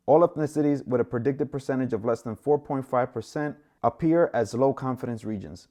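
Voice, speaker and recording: A young man from the Dominican Republic talking to camera in a relaxed, chatty vlog, with plenty of fillers. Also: mid-range voice. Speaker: travel vlogger. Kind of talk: casual vlog monologue.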